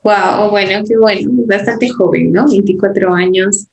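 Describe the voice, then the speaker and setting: A woman's voice: mid-range voice, fairly even intonation, measured pace, darkish tone, a little hiss or hum, rough recording. A woman hosting a faith interview show, friendly and laughing along with her guest. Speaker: host. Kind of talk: scripted intro and interview.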